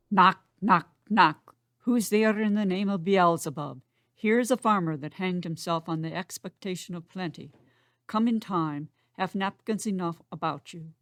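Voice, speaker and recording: A woman's voice: lowish voice, dry recording, close to an everyday voice. A woman playing a rambling, hungover male porter in a dramatic Shakespeare reading, bawdy and sardonic in a theatrical comic manner. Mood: grumbling, mocking. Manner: theatrical, muttering comic monologue.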